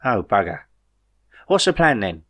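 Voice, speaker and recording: A man's voice: on the low side, very animated, measured pace, fairly bright tone, heavily acted. A man voicing a bewildered, reluctant ordinary bloke in space, doubtful and questioning, for an audiobook. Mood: dismayed. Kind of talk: audiobook character dialogue.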